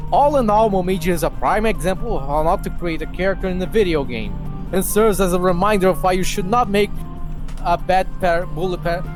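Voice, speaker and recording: A person reading a mock-serious rant aloud to friends on a stream, exasperated and stumbling now and then. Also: medium pitch. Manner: exasperated, swearing, breaking off into laughs and stumbles. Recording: loud music behind the voice. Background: music.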